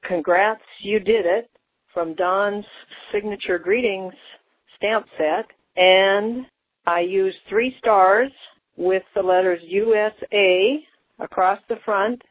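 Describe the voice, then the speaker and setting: A woman's voice: medium pitch, no echo. Female caller from Washington state, storytelling, dry narrow phone sound. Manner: storytelling with rhetorical questions. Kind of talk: phone-in message.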